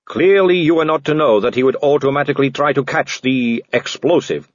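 A man's voice: mid-range voice, brisk, no echo, lo-fi sound, voice pushed up, close to an everyday voice. A man voicing a stiff male official who speaks formally, as if reciting a rehearsed line. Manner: formal, distant.

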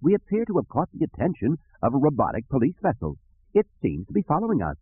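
A man's voice: medium pitch, wildly swooping pitch, quick pace, husky, phone-quality sound, pitched above the performer's own voice, exaggerated voice. A man voicing a fussy, anxious protocol droid with a prim, formal manner in an audiobook. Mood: fretful.

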